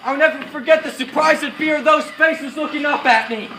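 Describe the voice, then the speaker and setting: High-pitched earnest paratrooper officer, acted by a man. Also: brisk, pushed and bright, heavily acted. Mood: urgent, shouting. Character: male American WWII paratrooper officer, resolute, recalling battle. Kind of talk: rehearsed dramatic war monologue. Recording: distant echoey room, faint noise.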